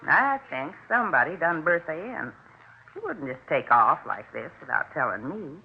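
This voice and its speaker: An actor playing a folksy, stubborn, worried neighbor in a 1950s radio crime drama, chatty and insistent. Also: male voice, fairly high pitch, sing-song, moderate pace, vocal fry, slightly rough, exaggerated voice. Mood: confiding. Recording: tinny, faintly noisy old radio recording.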